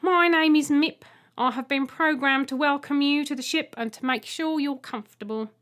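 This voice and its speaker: A polite robot egg performed by a woman speaks in a high, raised voice for a storybook. It is formal and literal, bluntly matter-of-fact as it deals with humans. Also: moderate pace, fairly bright tone. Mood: formal greeting. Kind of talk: audiobook character voice.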